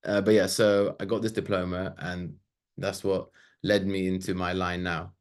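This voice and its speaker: Man in his thirties with a fairly low voice; relaxed, chatty presenter who wanders into asides. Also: normal speed, clear, resonant tone. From Sweden. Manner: loose and chatty, with fillers and asides. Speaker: webinar presenter. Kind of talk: casual slide-based webinar talk.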